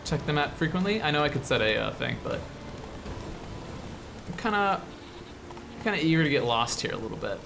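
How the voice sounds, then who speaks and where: A man's voice: on the high side, sing-song, a little fast, creaky, pauses often, music in the background. An American man from the Midwest hosting casual game commentary, rambling and explanatory with an enthusiastic manner. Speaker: show host, 3D artist.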